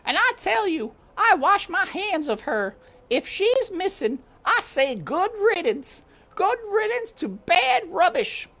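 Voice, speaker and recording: A woman's voice: very high voice, sing-song, slow, a bit bright, pitched above the performer's own voice, full character voice. A woman voicing a tall, stern female housekeeper who talks sharply and scornfully.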